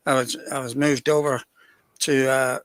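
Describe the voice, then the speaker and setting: Bright-voiced older man. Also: mid-range voice, little pitch movement, normal speed, no echo. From Scotland, raised in Northern Ireland. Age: 60s. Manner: easygoing, wry, repeats words. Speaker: guest musician. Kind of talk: casual chat on a video call.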